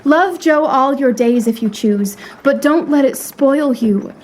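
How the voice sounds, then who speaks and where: A woman's voice: high voice, measured pace, darkish tone. A woman playing a proud, frank young lady in a period audio drama, speaking in a refined, articulate and earnestly reproachful way. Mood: gentle, earnest.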